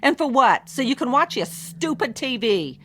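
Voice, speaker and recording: Casting: long-suffering wife, nagging and sarcastic, high voice with lively swings, radio drama over faint music. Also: female voice, normal speed. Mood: angry, accusing. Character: long-suffering, bitter, suspicious working-class wife, sharp-tongued.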